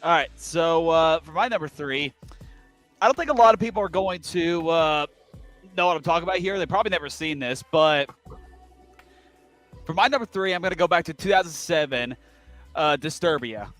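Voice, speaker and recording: A man's voice: a little high, moderate pace, long pauses, fairly bright tone, faint music behind. A man in his twenties, co-hosting a podcast in casual chat, easygoing and full of filler words. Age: 20s. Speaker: podcast co-host.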